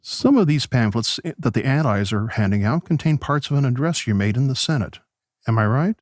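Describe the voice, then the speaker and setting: An actor playing a polite radio host who gently probes his political guests in a conversational interview style. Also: medium pitch, expressive, fairly quick, no echo, clean studio sound, natural voice. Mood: gently skeptical. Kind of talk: pseudo-radio play interview.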